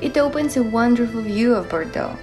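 A woman's voice: on the high side, lively intonation, a little fast, rough recording. A young woman reading a scripted voice-over as the narrator of a travel guide. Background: background music.

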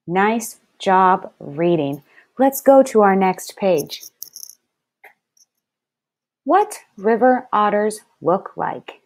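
A woman speaks as a first-grade teacher leading a read-aloud lesson. Her pace is slow and deliberate, with each word carefully enunciated for young listeners. Her voice sits at a middling pitch for a woman. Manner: deliberate, clearly enunciated, instructive.

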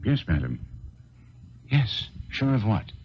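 A man acting in a 1970s radio drama, playing a shrewd, courteous gentleman detective, inquisitive, with murmured interjections. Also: lowish voice, sing-song, takes it slowly. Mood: attentive, probing. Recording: dull noisy old radio recording.

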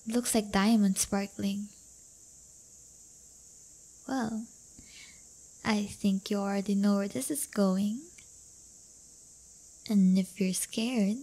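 Woman acting a slow-talking, teasing delinquent girlfriend. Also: medium pitch, darkish tone, low-quality recording. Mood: playful. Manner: teasing, coaxing, drawn-out delivery. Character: teasing, playful teenage delinquent girlfriend, crafty but affectionate. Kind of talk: intimate roleplay audio acting.

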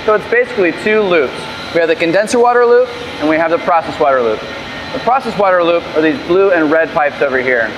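A man working as a facilities technician, explaining equipment in a relaxed, plain-spoken way. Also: a little high, fast talker, lo-fi sound, background noise.

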